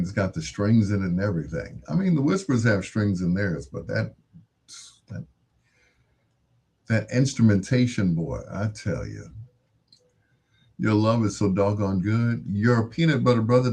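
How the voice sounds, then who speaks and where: Husky, low-voiced man hosting radio. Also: darkish tone. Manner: casual, musing. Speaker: radio host and DJ. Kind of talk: late-night music show chat, back-announcing songs.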